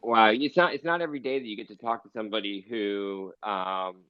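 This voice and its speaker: A man with a fairly low voice conducts a casual interview. He phrases questions haltingly and restarts them often, and the online audio is dry and a little muffled. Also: clear, resonant tone, no echo. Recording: slightly dull online audio room sound.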